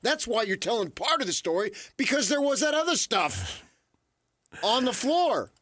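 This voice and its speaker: High-voiced, animated male sports co-host. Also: normal speed, no echo, clean studio sound. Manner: emphatic, repeats his points. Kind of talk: opinionated sports debate.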